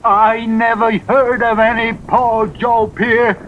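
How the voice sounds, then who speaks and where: A man's voice: little pitch movement, takes it slowly. A man playing a stubborn, grumbling old rustic farmhand in a radio drama, folksy and indignant. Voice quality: high-pitched old man's voice. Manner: folksy, indignant, plain-spoken. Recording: dull, faintly noisy old radio recording.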